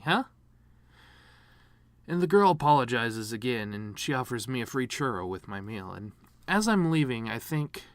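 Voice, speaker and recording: A man performing a dramatic monologue as a bitter, grieving horse giving his mother's eulogy, rambling with sardonic, self-deprecating deadpan humor. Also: medium pitch, dry recording. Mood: exasperated, sardonic.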